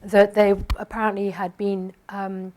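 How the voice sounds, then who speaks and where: A woman's voice: mid-range voice, little pitch movement, unhurried, a little mellow. Hesitant woman answering on a panel. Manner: hesitant, many restarts and fillers. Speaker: panel speaker.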